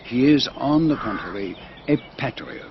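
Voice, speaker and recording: An actor playing a shrewd, courteous retired Belgian detective in a radio drama, with a French-speaking Belgian accent and precise, formal, teasing delivery. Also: male voice, medium pitch, animated, moderate pace, dull audio, background noise, lightly acted. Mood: shrewd, knowing.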